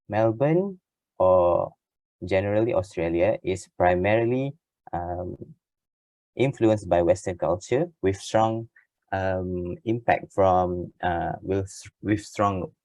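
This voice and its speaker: A deep-voiced young Malaysian man speaks slowly, pausing often with hesitant fillers as he lays out his argument. His voice is close and dry, with no room around it. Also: a little mellow, dry recording, rough recording. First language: not English. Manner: hesitant, many filler sounds. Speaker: student in group discussion. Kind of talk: presenting a point in a discussion.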